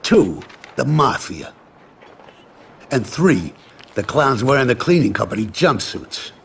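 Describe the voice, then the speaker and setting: Gruff, gravelly police boss. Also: male voice, mid-range voice, big pitch swings, slow pace, fairly bright tone, slight background noise, heavily acted. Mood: businesslike, grim. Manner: blunt, clipped. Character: gruff, exasperated male police superior briefing detectives. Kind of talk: noir game cutscene dialogue.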